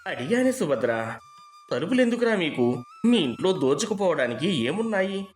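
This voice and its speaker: A man's voice: high voice, very animated, moderate pace, faint music behind. A man playing a poor, loyal village gardener in a story, deferential and weary in manner. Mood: bitter, resigned. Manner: deferential, lamenting.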